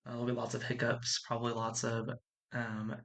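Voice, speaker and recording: A streamer with a raspy voice, feeling unwell, chatting casually while playing a game. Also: medium pitch, fairly quick, very noisy. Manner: chatty, upbeat, rambling asides with squealy excited spikes. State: unwell, under the weather. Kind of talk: casual gameplay livestream commentary. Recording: loud game music behind.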